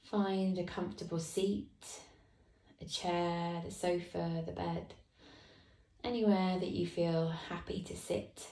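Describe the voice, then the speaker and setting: Slow, soft-spoken woman guiding meditation. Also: lowish voice, roomy sound, rough recording. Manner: slow, soft, calm guidance with long pauses. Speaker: meditation teacher. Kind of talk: guided meditation.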